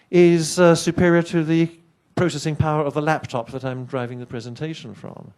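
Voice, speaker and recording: A middle-aged man from England with an English accent, not a native speaker of English, giving a lively, chatty science presentation to an audience with wry asides. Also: fairly high pitch. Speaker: conference speaker.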